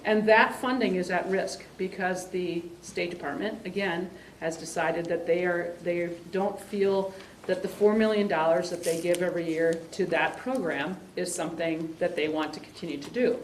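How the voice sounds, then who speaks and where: A woman coordinating an exchange program, speaking to a meeting in a halting, rambling way full of fillers and restarts. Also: on the low side, fairly even intonation. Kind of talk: presentation to a meeting. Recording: roomy sound from a distant mic, faint hiss.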